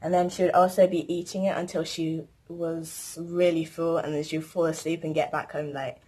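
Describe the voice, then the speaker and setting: A woman's voice: deep voice, level tone, moderate pace, a little mellow, slightly rough. A teenage girl chatting casually to camera, rambling through a list of facts about herself. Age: teens. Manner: chatty, rambling, lists things. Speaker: vlogger.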